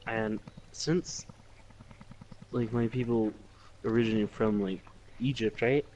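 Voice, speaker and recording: Low-voiced man on a dull, noisy mic. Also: fairly even intonation, takes it slowly, a little mellow. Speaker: player in a tabletop roleplaying game. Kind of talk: casual game chat. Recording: dull lo-fi mic with background noise.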